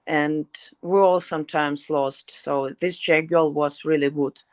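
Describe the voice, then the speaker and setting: A woman's voice: sits low, no echo. A young woman fighter with a Ukrainian accent, speaking blunt, broken English as a guest in a casual interview.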